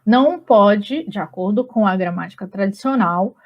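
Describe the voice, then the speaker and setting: Brazilian woman teaching grammar, chatty and relaxed, keeps checking in, kinda dull lo-fi sound. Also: mid-range voice, moderate pace. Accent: Brazilian Portuguese. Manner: relaxed and chatty, with frequent tag questions. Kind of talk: conversational online grammar lesson. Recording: slightly dull lo-fi audio.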